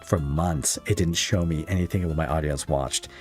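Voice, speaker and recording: Deep-voiced male podcast host over music. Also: moderate pace. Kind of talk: casual interview chat. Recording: music playing behind the talk. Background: background music.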